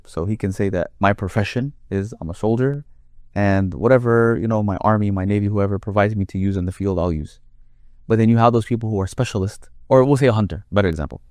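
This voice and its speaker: Deep-voiced man, dry close sound. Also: darkish tone, no room sound. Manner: explains with long worked examples. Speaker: Quran reciter and guest. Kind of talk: conversational interview.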